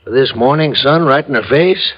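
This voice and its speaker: Folksy American radio cop, muffled recording. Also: male voice, mid-range voice, animated, moderate pace, slightly rough. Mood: folksy explaining. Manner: colloquial, emphatic, rambling storytelling. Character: folksy, talkative small-town male police sergeant. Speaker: supporting character actor. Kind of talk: classic American radio drama acting.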